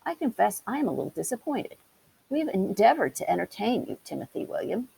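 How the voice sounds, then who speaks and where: A woman voicing a brisk, fussy, genteel male town mouse in a storybook, polite but rather huffy. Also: mid-range voice, very animated, gravelly, warm tone, breathy edge, voice pushed up, exaggerated voice, poor audio. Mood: polite disdain. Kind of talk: storybook character voice. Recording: hissy background noise.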